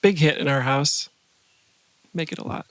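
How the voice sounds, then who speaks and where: A man's voice: on the high side, normal speed, fairly bright tone, dry recording. A man chatting casually as a podcast guest, easygoing and agreeable. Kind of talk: casual chat.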